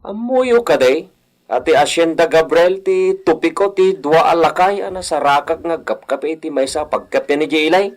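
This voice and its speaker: A man playing an excitable, eager villager spreading gossip in a radio drama. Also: a little high, normal speed, close to an everyday voice. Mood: excited.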